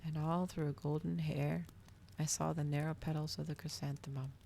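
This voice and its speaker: A woman reading a story aloud in a soft, hushed ASMR style. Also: low-pitched, flat delivery, moderate pace, creaky, a little hiss or hum. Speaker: streamer reading a novel aloud.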